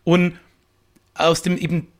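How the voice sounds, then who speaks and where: Male podcast guest, fairly high-pitched voice, talkative and conversational, explains with examples. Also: completely flat delivery, slow pace. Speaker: podcast guest, improv performer. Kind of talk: casual explanatory podcast chat.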